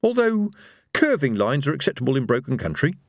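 A man's voice: medium pitch, big pitch swings, brisk, dry recording, voice pushed up. A man voicing a nervy, cowardly fellow who is hesitant, dry and self-justifying, in a storybook reading. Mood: evasive. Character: Rincewind, nervy cowardly man, wry and evasive.

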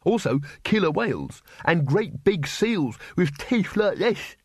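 A man's voice: high-pitched, very animated, takes it slowly, breathy edge, dry recording, studio quality, voice pushed up, full character voice. A man voicing a nervous, gloomy male wizard who speaks sarcastically and mournfully. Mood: glum.

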